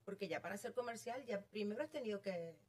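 A middle-aged woman with a Venezuelan accent, a real estate agent guest telling her story in an animated, self-amused way. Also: mid-range voice, fast talker. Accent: Venezuelan Spanish. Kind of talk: casual interview chat.

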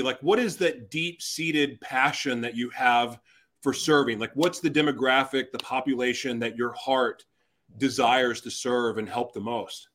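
A man's voice: medium pitch, a bit bright. A man hosting an interview, enthusiastic and effusive with praise. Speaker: interview host. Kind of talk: casual interview chat.

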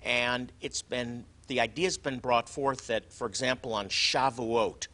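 A man's voice: mid-range voice, steady tone, a little slow, pushed and bright. A man hosting a conversation, talking earnestly with frequent hesitations and restarts. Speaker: host. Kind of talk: conversational interview.

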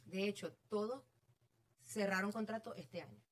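A woman's voice: mid-range voice, normal speed. Casting: middle-aged Venezuelan-accented woman, mid-range voice, chatting informally as an interviewed guest. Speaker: interview guest, real estate agent. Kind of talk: casual conversational storytelling.

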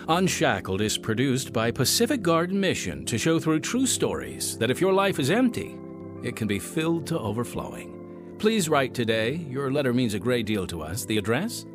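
A man hosting a radio program, reading scripted narration in an earnest, measured manner that addresses the listener directly. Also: mid-range voice. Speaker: radio program host and announcer. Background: music.

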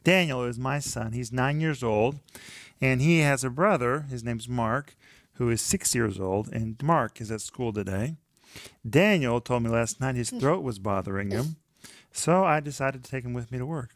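A man leads a studio Bible study in a friendly church-show manner, often affirming with amen. His voice sits at a middle pitch with a faint gravelly fry. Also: expressive, normal speed. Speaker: host leading a Bible study group.

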